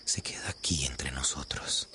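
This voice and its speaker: An actor playing an ardent man madly in love, breathy and intimate, in a romantic radio drama. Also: very deep voice, a little slow, whispered.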